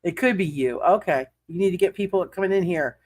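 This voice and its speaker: Higher-voiced man hosting, halting speech. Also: no room sound. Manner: halting, repeats words and restarts often. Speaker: game master and host of a roleplay livestream. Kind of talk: casual livestream chat and recap.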